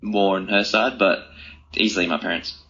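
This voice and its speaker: A young man answering interview questions casually and earnestly, often halting and rephrasing himself. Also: deep voice, steady tone, sharp tone, breathy edge, dull audio. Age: young adult. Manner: earnest, halting, self-correcting. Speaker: interview guest, author.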